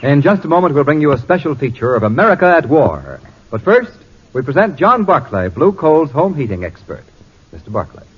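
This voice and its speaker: A man's voice: on the high side, very animated, fairly quick, slightly rough. A man announcing in 1940s radio style. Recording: dull 1940s radio recording.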